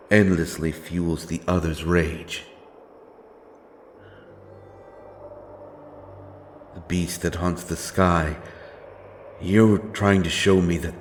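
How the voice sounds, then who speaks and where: A man playing a grim, righteous wandering avenger in a dark fantasy audio drama, speaking in a solemn, archaic and deliberate way. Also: low voice, a little hiss or hum. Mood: tense, grave.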